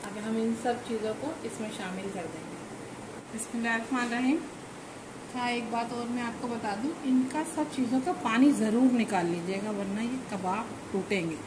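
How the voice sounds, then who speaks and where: A woman hosting a cooking channel, calmly walking through a home recipe step by step and repeating points as she goes. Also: fairly high pitch, moderate pace. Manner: step-by-step explaining, repeats herself, calm instructive. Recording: echoey room with background noise.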